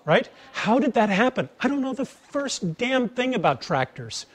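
A middle-aged American man giving a conversational lecture, telling stories in an animated way and asking rhetorical questions. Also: very high voice, moderate pace, slightly rough. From United States. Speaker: speaker giving a talk, former engineer turned sales trainer. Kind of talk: conversational public lecture with acted-out anecdotes. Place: attic seminar room with wooden floor. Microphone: lapel mic.

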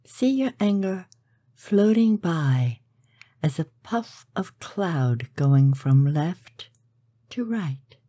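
A woman narrating a scripted guided meditation in a soothing, measured, breathy way, with soft delivery and pauses. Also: on the low side, big pitch swings, no room sound.

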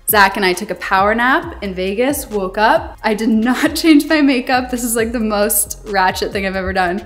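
A woman's voice: medium pitch, a bit bright. A woman in her twenties with an American accent, chatting casually and informally in a giggly way as a vlogger. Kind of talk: casual house tour vlog chat. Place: inside a bright open-plan home. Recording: roomy echo, music underneath.

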